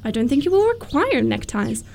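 A woman's voice: sits high, sing-song, moderate pace, gravelly, a little mellow, slight background noise, heavily acted. A woman playing a prim, candid young girl of good family, earnest and politely teasing, in a theatrical radio comedy.

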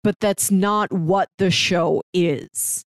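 Female co-host, slightly low register, articulate casual analysis, no room sound at all. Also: fairly even intonation, slow, no room sound, studio quality. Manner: articulate, explanatory. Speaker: podcast co-host reviewing audio dramas.

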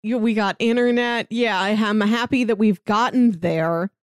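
A woman's voice: fairly high pitch, normal speed, clean tone, no room sound, clean studio sound. A woman co-hosting a casual podcast, chatting eagerly about a TV show and laughing often. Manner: enthusiastic, gushing with laughs. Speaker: podcast co-host. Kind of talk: casual chat.

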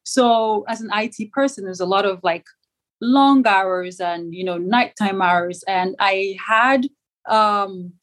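A woman's voice: medium pitch, slow pace, a bit bright. A woman raised in Nigeria with Yoruba as her first language, a startup founder answering interview questions in a loose conversational way. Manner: conversational, many fillers and restarts.

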